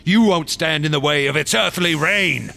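An actor in a radio drama playing a paranoid, menacing wax sculptor, with a gravelly voice and a sneering, theatrical, taunting delivery. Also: male voice, a little high, moderate pace, pushed and bright, slight background noise, heavily acted.

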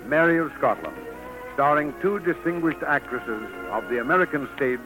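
A man's voice: on the high side, expressive, moderate pace, music in the background, low-quality recording. A man announcing formally on a 1940s radio program. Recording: vintage 1940s radio broadcast.

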